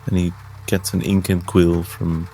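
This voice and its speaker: A man's voice: sits low, level tone, measured pace, dark tone, soft background music. A man improvising third-person narration as a player in a tabletop game.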